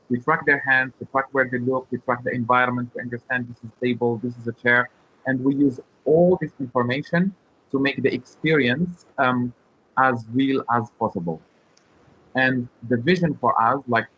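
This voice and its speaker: A man speaks over a muffled online call. His pitch is medium, and he explains things informally in a halting way, often repeating words as he looks for the right phrase. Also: slightly rough. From Morocco. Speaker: startup founder, webinar guest. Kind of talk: informal interview answers, explaining.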